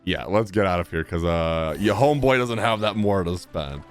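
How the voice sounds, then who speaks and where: A man's voice: sits low, fairly quick, faint music behind. A man giving casual gaming commentary, reacting aloud in a slangy, chatty way as he plays. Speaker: streamer playing and reacting to a game.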